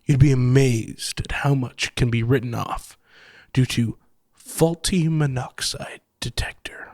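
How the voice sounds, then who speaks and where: An actor playing a gloating, sardonic killer who collects machines, with mocking, theatrically polite menace in an audio horror story. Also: male voice, medium pitch, unhurried, a little mellow, close to an everyday voice. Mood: smug, eager.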